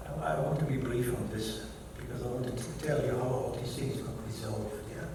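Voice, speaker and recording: A man's voice: medium pitch, fairly quick, gravelly. An older man with a German accent, a native German speaker, gives a keynote talk and tells personal stories in a reflective way, quoting others and correcting himself as he goes. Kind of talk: personal testimony lecture. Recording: echoey hall, mic at a distance, background noise.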